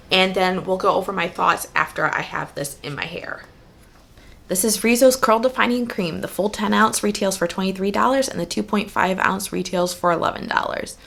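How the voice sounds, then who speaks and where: A woman with a hoarse voice from a cold, chatting casually as a hair vlogger and reading product labels aloud in a methodical way. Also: mid-range voice, sharp tone. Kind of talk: casual product review while styling.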